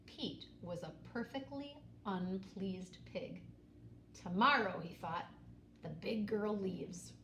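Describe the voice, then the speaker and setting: A woman reads a children's picture book aloud in an expressive, dramatic way, pausing and stressing key words. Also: mid-range voice, gravelly. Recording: roomy echo, faint background noise.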